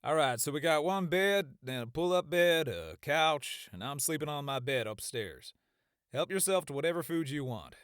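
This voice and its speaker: A man acts a tough, easygoing gunslinger in a fantasy audiobook. He is gruff and folksy, his pitch moving freely up and down in a dry, close recording. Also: expressive, moderate pace, a bit bright, no room sound. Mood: casual, wry. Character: tough, laid-back male gunslinger. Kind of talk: audiobook character acting.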